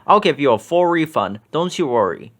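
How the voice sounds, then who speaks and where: A man's voice: a little high, very animated, normal speed, fairly bright tone. Guy reading as kind worried owner man, fretful and sorry, kids story. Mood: apologetic. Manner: apologetic, fretful. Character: kindly, worried small business owner man.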